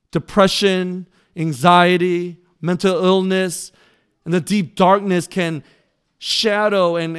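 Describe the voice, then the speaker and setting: A man preaching a sermon as pastor, earnest and pleading, with emphatic pauses, in a church. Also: a little high, clear, resonant tone. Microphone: mic that briefly cut out.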